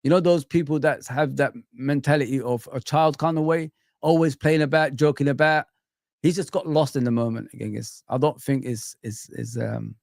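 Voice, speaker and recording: A man's voice: mid-range voice, creaky, no room sound, clean studio sound. A young man from Somalia hosting a casual football live stream, chatting loosely with viewers and reading comments, calling everyone bro. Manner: relaxed, rambling, calls viewers bro.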